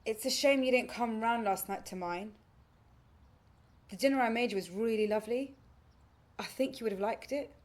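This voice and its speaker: A woman's voice: fairly high pitch, normal speed, long pauses, lightly acted. A woman playing a lonely, earnest would-be girlfriend in a naturalistic audio play, speaking tentatively and pleadingly. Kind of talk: naturalistic audio drama acting.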